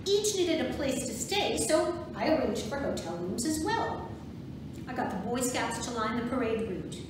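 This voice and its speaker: A woman playing a proud, brisk suffragist parade organizer in an amateur reader's theatre monologue. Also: high voice. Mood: proud, boastful. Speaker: volunteer docent performer. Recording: very echoey, distant, noisy room recording.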